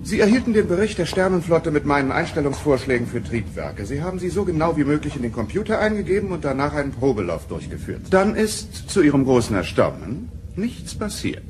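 An arrogant starship engine expert in a radio play, condescending and impatient. The voice is fairly high and swings widely in pitch, over clearly noisy tape. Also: male voice, sing-song, a little fast, few pauses. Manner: condescending, haughty. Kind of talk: German audio drama acting.

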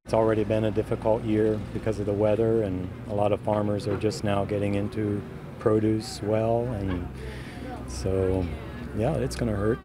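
A man's voice: on the low side, normal speed. An older man, a farmer, speaking plainly in an outdoor interview at a farmers market. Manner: flat, plain-spoken. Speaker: farmer vendor. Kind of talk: street interview. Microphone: handheld mic. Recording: outdoor background noise.

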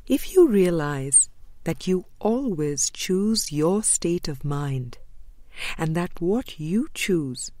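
A woman reading a spiritual book aloud in a measured, deliberate way, pausing between short phrases. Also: on the low side, lively intonation, dark tone, no room sound. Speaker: audiobook reader.

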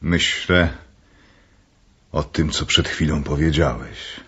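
A man's voice: rumbling low, takes it slowly, a bit bright, breathy edge, muffled recording, exaggerated voice. An actor playing a cornered, self-controlled man in a radio play, speaking tersely and with measure. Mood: guarded.

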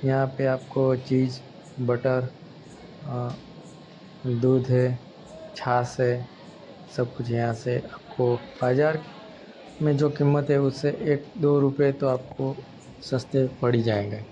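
Male vlogger, mid-pitched and flat, hesitant casual narration on lo-fi audio. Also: slow, pauses often. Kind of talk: casual shopping vlog narration. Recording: dull lo-fi audio with background noise.

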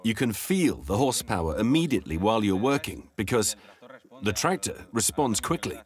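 A man's voice: fairly low pitch, very animated, normal speed. A man in his thirties with a Spanish accent, a farmer giving an earnest customer testimonial in a tractor showroom. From Spain.

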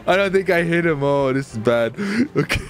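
A man giving casual, excited commentary on his gameplay, chatty and often repeating his phrases. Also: a little high, moderate pace, soft background music. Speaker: gaming video host and player.

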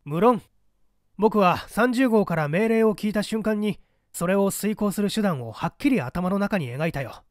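A cool, defiant male operative of a secret party acted by a man in a dramatic audiobook. His voice is high and very animated, with a dry close sound. He argues rhetorically and formally, with a sardonic edge. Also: normal speed, dry recording. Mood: resolute. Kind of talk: dramatic audiobook character dialogue.

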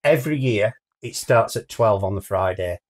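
A man's voice: lowish voice, expressive, normal speed, darkish tone. Middle-aged British man in casual chat. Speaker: co-host of a hi-fi news show. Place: small wood-panelled room, indoors.

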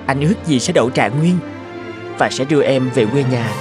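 A man's voice: medium pitch, brisk, lightly acted. Man acting an earnest, courteous young scholar. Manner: courteous, gentle. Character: polite, earnest, devoted young male scholar. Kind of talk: animated fairy tale voice acting. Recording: faint music under the voice.

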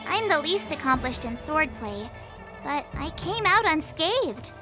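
A woman's voice: sits high, sing-song, measured pace, over music. A woman voicing a perky, earnest teenage sword apprentice in an anime game. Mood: earnest, modest.

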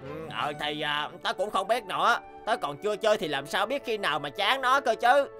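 A smug, selfish big schoolboy from a cartoon. The voice is cocky and taunting, at a middling pitch. Music is clearly heard behind it. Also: female voice, animated, normal speed, bright, forceful tone. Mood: scheming. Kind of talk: animated cartoon dialogue. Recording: background music under dialogue.

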